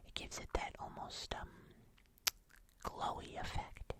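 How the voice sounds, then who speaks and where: ASMR host, fully whispered and breath-only delivery, gentle casual rambling. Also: a little slow. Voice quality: whispered, unvoiced. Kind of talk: ASMR craft show-and-tell.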